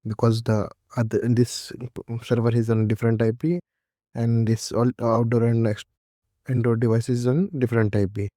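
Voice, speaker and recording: Male tutorial presenter, mellow and even-toned, halting step-by-step software walkthrough delivery, close dry sound. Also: medium pitch, dry recording. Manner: halting, repetitive step-by-step instruction. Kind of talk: unscripted software setup walkthrough.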